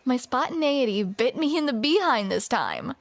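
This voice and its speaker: A woman's voice: high voice, sing-song, normal speed, gravelly, dry recording. A woman performing a scripted romantic audio roleplay, with playful, teasing banter, close to the microphone.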